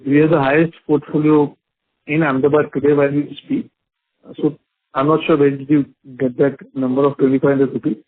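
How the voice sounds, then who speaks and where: A company executive, answering an analyst patiently and politely. His voice is slightly high and husky. It comes through a dry, thin phone line. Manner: polite, calls the analyst sir, patient explanations with fillers. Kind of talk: earnings call Q&A.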